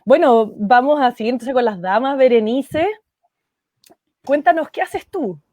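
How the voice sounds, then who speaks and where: Higher-voiced woman hosting a panel. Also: lively intonation, unhurried, darkish tone, no echo, studio quality. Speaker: panel host. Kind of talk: hosting an online panel talk.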